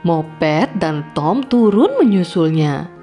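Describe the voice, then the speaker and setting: A woman tells a children's story at an unhurried pace, with a very lively, swooping pitch. Her delivery is deliberate and expressive, and soft music plays behind her. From Indonesia. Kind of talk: scripted fairy-tale narration for children.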